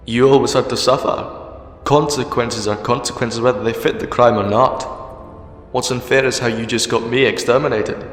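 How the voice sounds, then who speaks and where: A man's voice: medium pitch, steady tone, normal speed, natural voice. A man playing a sardonic, argumentative time-travelling hero in a sci-fi fan audio drama, defiant in temper. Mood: reproachful. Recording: echoey reverberant hall, music underneath.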